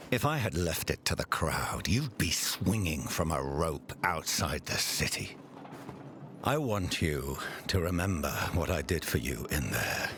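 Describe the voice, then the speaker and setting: An actor playing an imperious, cruel ruler who commands holy inquisitors in a fantasy game, with a grandiose and menacing manner. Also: male voice, extremely low pitch, noisy background, full character voice. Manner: grandiose, theatrical in proclamation, menacing in private. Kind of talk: dramatic fantasy game acting.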